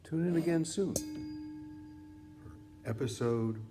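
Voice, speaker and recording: A man reading scripted meditation teaching like an audiobook author, in deliberate, measured phrases with pauses. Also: fairly high pitch, music in the background. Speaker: author reading own book on mindfulness.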